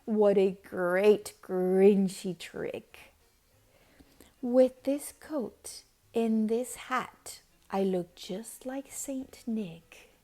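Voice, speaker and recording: Woman as a sour male storybook creature, scheming, sneering, breathy snarl, very slow delivery. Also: medium pitch, mellow. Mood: gleeful, sly.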